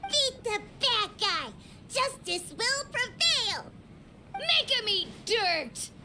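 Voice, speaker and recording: A woman voicing an excitable, loyal small child in a video game, exclaiming with gleeful zeal. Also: very high-pitched, slow pace, heavy background noise, exaggerated voice. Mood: cheering, boisterous.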